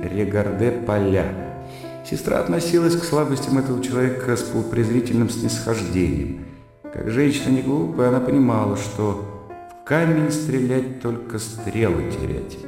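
An actor in a radio play voicing a reserved young scholar's musing, dry inner monologue. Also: male voice, mid-range voice, creaky. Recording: heavy echo with music behind.

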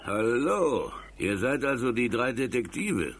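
An actor playing a skeptical, condescending farmer in a vintage children's detective audio play, with a mocking drawl. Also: male voice, medium pitch, sing-song, a little slow, a little hiss or hum.

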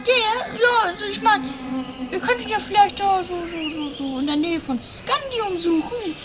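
A woman's voice: extremely high pitch, lively intonation, normal speed, noisy background. A woman playing a flighty, theatrical, breezily unrepentant lady in a trashy comedy radio play, stammering and exaggerating, over music. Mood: sheepish. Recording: music under the voice.

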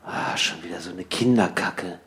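An actor playing a sulky, know-it-all slacker pal in a conversational radio play, grumbling and bickering in slangy, mock-intellectual talk. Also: male voice, sits low, normal speed, fairly bright tone. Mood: dismissive.

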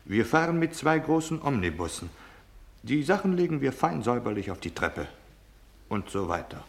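An actor in a German radio drama playing a cold, domineering officer, curt, impatient and cynical in giving orders. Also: male voice, mid-range voice, big pitch swings, normal speed, gravelly. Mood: barking impatient orders. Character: cold, domineering military officer delivering deadly orders, male.

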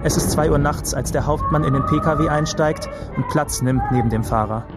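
A man's voice: on the high side, a little fast, close to an everyday voice. A man playing a war survivor who recounts a flight from the front in short, matter-of-fact sentences for a radio play. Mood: sober, grave. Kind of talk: first-person radio play narration. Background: loud music and vehicle noise.

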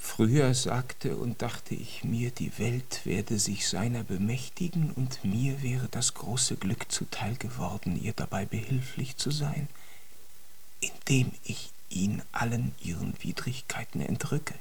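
An actor in a German radio drama playing a flustered, fretful conductor and concert organizer, speaking in a wordy, formal, theatrical way. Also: male voice, medium pitch, measured pace, gravelly, a little hiss or hum. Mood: shaken, bitter.

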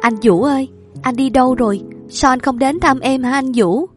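A woman voicing a distraught young woman in a hospital who pleads, high-pitched and loud, for her lost love, in an audiobook reading. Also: expressive, a little slow, soft background music. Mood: anguished.

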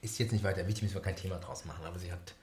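An actor playing a reserved, awkward husband in an improvised radio comedy, speaking haltingly and with understated, formal wording. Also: male voice, sits low, steady tone, roomy sound, slight background noise, natural voice. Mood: uneasy, subdued.

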